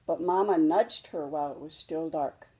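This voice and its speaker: A woman reading a children's storybook aloud, expressively and deliberately for young listeners. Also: low voice, warm tone, low-quality recording. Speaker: storybook reader. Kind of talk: children's read-aloud narration.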